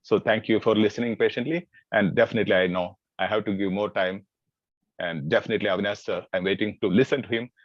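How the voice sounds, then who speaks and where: A man's voice: on the low side, fairly even intonation, fast talker, dry recording, muffled recording. A man, a teacher speaking earnestly on a panel and laying out his advice point by point. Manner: earnest, advisory, uses numbered principles. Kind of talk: panel talk on a video call.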